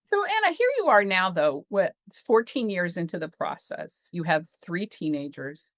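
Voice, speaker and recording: Woman, host, inquisitive, conversational, animated pitch, dry sound. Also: moderate pace, no echo. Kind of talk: interview conversation.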